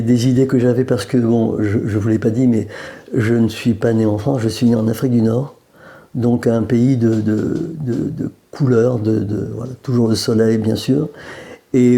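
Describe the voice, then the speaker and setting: A man's voice: medium pitch, gravelly, dark tone. A man from Algeria, a painter, chatting in an interview in a gallery, with many hesitations and joking asides. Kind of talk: casual interview. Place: art gallery room.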